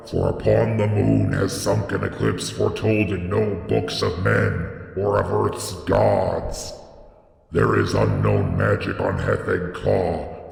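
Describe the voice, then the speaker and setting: Man playing arrogant mad prophet, gothic horror tale, raised gravelly shouting, grandiose declaiming, long cavernous reverb. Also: fairly low pitch, pitched above the performer's own voice. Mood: awed, frenzied. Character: Barzai, arrogant old prophet crying out in mad ecstasy. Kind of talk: dramatic gothic horror storytelling. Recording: echoey hall with long reverb.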